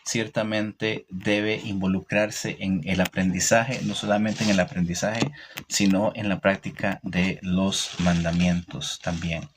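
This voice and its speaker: A man with a Costa Rican Spanish accent giving an informal, didactic religious talk, opinionated, pausing and repeating phrases as he goes. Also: deep voice, flat delivery, slight background noise. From Costa Rica. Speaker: religious teacher hosting a livestream. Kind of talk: informal religious talk answering live comments.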